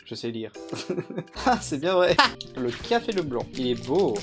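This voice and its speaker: A man's voice: a little high, sing-song, unhurried. A man giving casual, joking and crude gameplay commentary, rambling and often laughing. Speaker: gaming YouTuber. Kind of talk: casual let's-play commentary. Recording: game music playing under the voice. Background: game music.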